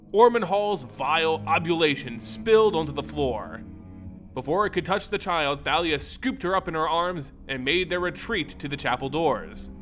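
A man giving a dramatic scripted narration of a fantasy tale in a theatrical, expressive manner. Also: fairly high pitch. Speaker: storyteller.

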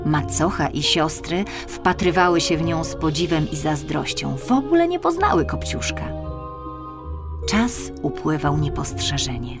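Lowish-voiced woman narrating a fairy tale. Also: lively intonation, a little slow, music in the background. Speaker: audiobook narrator.

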